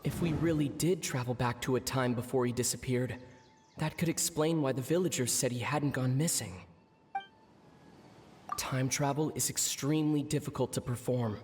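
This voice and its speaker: An actor playing a serious, reserved, knowledgeable wanderer in a video game, explaining things in a measured, deliberate manner. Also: male voice, medium pitch, a little mellow, slight background noise, lightly acted. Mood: analytical, serious. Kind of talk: video game character acting.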